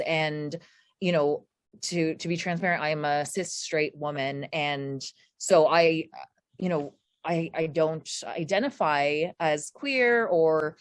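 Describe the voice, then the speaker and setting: A woman's voice: deep voice, dry recording, studio quality. A woman from the Philippines speaking on a video-call panel, candid and searching for words. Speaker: workshop panelist. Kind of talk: conversational panel talk.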